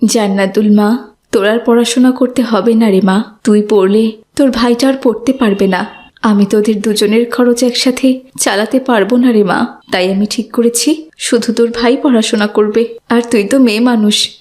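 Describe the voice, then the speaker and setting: A poor widowed mother in a moral cartoon, played by a woman. Her voice is on the high side, weary and admonishing, with firm motherly love. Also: steady tone, moderate pace, darkish tone, lightly acted. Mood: resigned. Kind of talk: cartoon voice acting.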